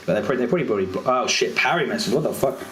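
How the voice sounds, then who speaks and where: Give me an excitable male livestream host who repeats his phrases, with faint noise in the background. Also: mid-range voice, rapid-fire, poor audio. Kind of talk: casual livestream chat.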